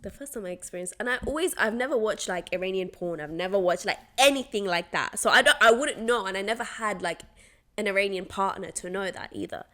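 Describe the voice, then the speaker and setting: A woman from Iran in her twenties or thirties, co-hosting a podcast, talking in a casual, animated way with many fillers and laughs. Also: medium pitch, quick pace. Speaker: podcast co-host. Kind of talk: casual chat.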